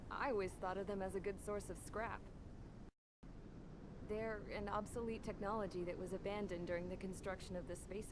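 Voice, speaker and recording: A woman's voice: medium pitch, background noise, close to an everyday voice. An actress playing a blunt young female mechanic in a game cutscene, speaking in a casual, slangy, matter-of-fact way. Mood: calm, questioning. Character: blunt, plain-spoken young female mechanic telling her story. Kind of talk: game cutscene dialogue and narration.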